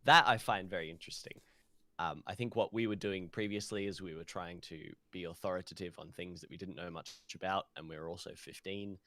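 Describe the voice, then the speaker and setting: A young man with a lowish, bright voice, recorded very dry with no room sound. He speaks in the relaxed back-and-forth style of a casual podcast co-host. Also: brisk, dry recording. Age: young adult.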